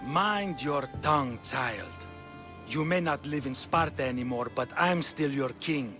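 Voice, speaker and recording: A man's voice: medium pitch, unhurried, sharp tone, soft background music. A man voicing a courtly, condescending, sly Spartan king in dramatic video game acting. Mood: stern. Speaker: actor voicing a game character.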